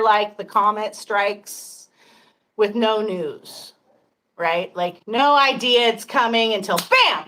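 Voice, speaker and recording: A woman's voice: on the high side, pushed and bright, poor audio. A woman in her fifties hosting a casual livestream chat, talking frankly and chattily with frequent laughter and some swearing. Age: 50s. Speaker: podcast host.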